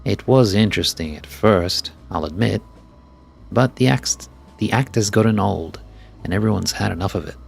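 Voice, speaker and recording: A man's voice: fairly low pitch, moderate pace, vocal fry, slight background noise. A man voicing a haughty, jaded fight fan from a video game, dismissive and snooty. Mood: disdainful. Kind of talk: scripted game dialogue read aloud. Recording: faint music behind.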